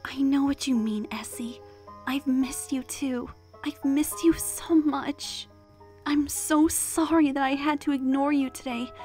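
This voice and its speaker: Woman voicing an earnest, high-voiced pony guard captain. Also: fairly even intonation, a little slow, a little mellow, faint music behind. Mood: apologetic. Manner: earnest, caring, solemn. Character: devout, protective pony mare, captain of the guard. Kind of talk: animated fan drama acting.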